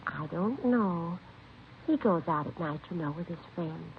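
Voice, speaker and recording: An actress playing an anxious, protective middle-aged aunt in a 1950s radio drama, polite but defensive. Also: female voice, on the low side, animated, measured pace, vocal fry. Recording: tinny, faintly noisy old radio recording.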